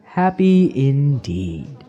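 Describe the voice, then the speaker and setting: Guy playing a laid back young hedonist, mellow soft-edged voice, wry teasing, fantasy radio play. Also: on the high side, very animated, extremely slow, highs cut off, heavily acted. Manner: languid, wry, flowery phrasing. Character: carefree young hedonist drinker, teasing and philosophical. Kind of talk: fantasy radio drama acting.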